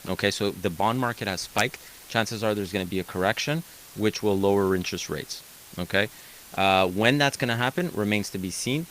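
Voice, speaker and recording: A man hosting a call-in radio advice show, talking in an energetic, emphatic way and checking in with "okay?". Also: lowish voice, brisk, a little hiss or hum, poor audio. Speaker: radio host, mortgage broker.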